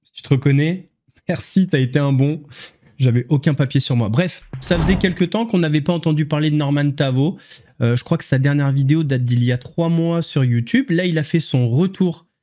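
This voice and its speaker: A man's voice: fairly high pitch, brisk, dark tone. A man hosting an online commentary show, mocking his subjects with ironic asides. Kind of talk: sarcastic news commentary.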